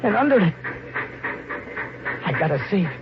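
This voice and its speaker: An actor playing a panicked killer trapped in a boxcar, stammering and repeating himself in 1950s radio thriller style. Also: male voice, high-pitched, animated, moderate pace. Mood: dawning horror. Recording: noisy, muffled early 1950s radio recording.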